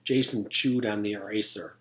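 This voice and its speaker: A man's voice: on the low side, steady tone, moderate pace, pushed and bright, low-quality recording. A man narrating a children's story in a read-aloud style, as a teacher would for pupils.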